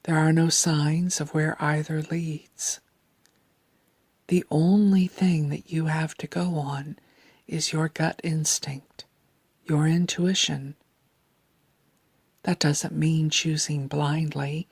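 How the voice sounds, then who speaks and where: Low, breathy woman guiding slow meditation. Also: no room sound. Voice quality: soft, breathy, near-whispered. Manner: calm, deliberate, soothing delivery. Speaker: guided meditation narrator. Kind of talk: slow scripted guided meditation narration.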